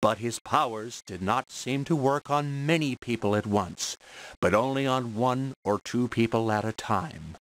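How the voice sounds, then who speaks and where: A man reads a children's storybook aloud. His pace is very slow and measured, with a mid-range pitch. The delivery is clearly scripted, pitched at young children. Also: rough recording. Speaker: storybook narrator.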